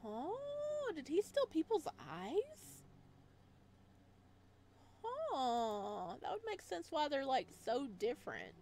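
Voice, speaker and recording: A woman doing a let's play, reading story text aloud and adding expressive, chatty reactions in a casual style. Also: high-pitched, takes it slowly. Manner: expressive, chatty asides between read passages.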